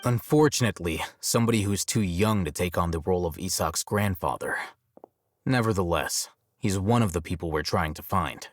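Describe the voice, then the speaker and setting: An actor playing an aloof, coolly logical young male scholar in a video game, measured, detached and matter-of-fact. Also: low voice, animated. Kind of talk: video game character dialogue.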